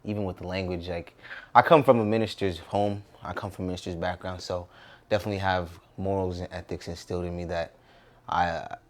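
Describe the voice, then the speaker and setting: A man's voice: low voice, steady tone, brisk. A man in his twenties with an American accent, talking casually and reflectively as a guest in an interview. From Southern California, United States. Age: 20s.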